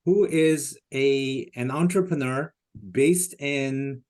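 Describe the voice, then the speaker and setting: A man's voice: medium pitch, slow, a little mellow. A man hosting a podcast, introducing his guest and asking questions in a hesitant way that corrects itself with fillers. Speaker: podcast host.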